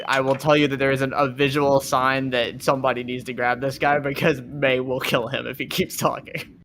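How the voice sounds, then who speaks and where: A man's voice: medium pitch, little pitch movement, normal speed, a bit bright, slight background noise. A man playing tabletop roleplay, improvising an insistent, confrontational interrogation and chatting with the table.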